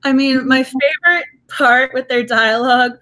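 Bright, slightly higher young woman, strained voice. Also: fairly even intonation, moderate pace. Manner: enthusiastic, opinionated. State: strained voice from overuse. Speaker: book club host. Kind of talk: casual video call chat. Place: home room with bookshelves. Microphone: webcam on video call.

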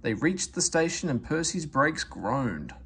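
Guy reading a kids book out loud, really lively pitch, slightly rough voice. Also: unhurried. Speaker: storybook reader. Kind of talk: animated read-aloud narration of a children's story.

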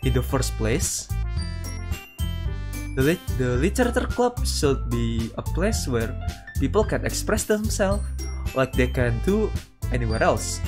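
A man streaming a visual novel, reading dialogue aloud haltingly and adding casual commentary. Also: mid-range voice, sing-song. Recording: music playing behind the voice. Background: guitar game music.

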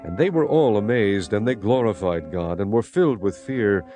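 A man narrates dramatized scripture in a solemn storytelling manner. His voice sits fairly low with lively swings in intonation, and music plays clearly underneath. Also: moderate pace.

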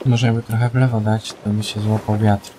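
A man's voice: on the low side, little pitch movement, a little fast, dark tone, a little hiss or hum. A Polish man casually chatting as he plays a co-op video game, thinking aloud in a laid-back way. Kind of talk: casual co-op gameplay chat.

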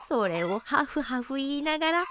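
A woman as a lively, high-voiced boy novice monk. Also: normal speed, noisy background. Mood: dreamy longing. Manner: polite, childlike. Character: earnest, dreamy, sleepy boy novice monk. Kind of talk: radio drama acting.

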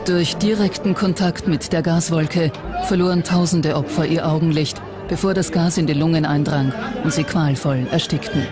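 A narrator reading a scripted documentary in a measured, factual way. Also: medium pitch, steady tone, over a noisy crowd. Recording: music bed under the voice. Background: music.

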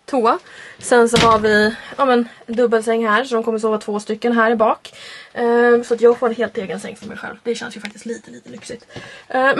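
A woman's voice: a little high, fairly bright tone. A woman, chatting casually and informally to camera as a vlogger. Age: 20s. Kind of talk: casual chatty road trip vlog. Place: in and around a camper van and car. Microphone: handheld vlog camera. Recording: clear background noise, camera audio.

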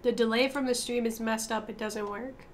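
A young woman with a stuffy, congested nose talks through a team shooter match on stream, chatty and swearing casually as she calls out positions. Her voice sits a little high. Faint hiss sits under the headset sound. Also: fairly even intonation, moderate pace. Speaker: gaming streamer. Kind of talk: casual gameplay commentary with team callouts.

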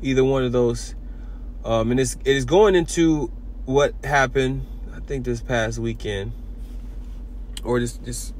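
A man giving an informal sermon video, talking in halting bursts with many repeats and restarts. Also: medium pitch, slight background noise. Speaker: preacher.

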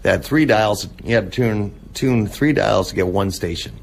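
A man speaking casually as an interview guest, halting and reminiscing as he goes. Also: on the low side, gravelly, a little hiss or hum. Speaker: interview guest, old-time radio collector and restorer. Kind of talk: casual radio interview talk.

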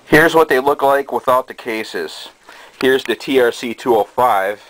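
A man giving a casual show-and-tell in a halting, hesitant way, recorded indoors on a handheld camera's microphone. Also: mid-range voice, dry recording, rough recording. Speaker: hobbyist presenter. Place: small cluttered bedroom indoors. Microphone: handheld camera's built-in microphone. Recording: steady noise, amateur camera sound.

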